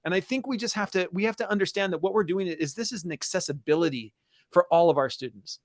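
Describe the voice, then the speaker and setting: A man's voice: high voice, fast, no room sound, clean studio sound. A man hosting an educational webinar, talking conversationally in an enthusiastic, emphatic and personal way. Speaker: podcast and webinar host.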